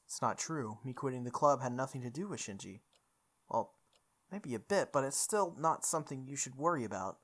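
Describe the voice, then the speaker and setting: A woman's voice: a bass voice, animated, a little fast. A woman voicing a blunt, casual, protective teenage boy from a visual novel. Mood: reassuring. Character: stubborn, protective teenage boy, archer turned club quitter.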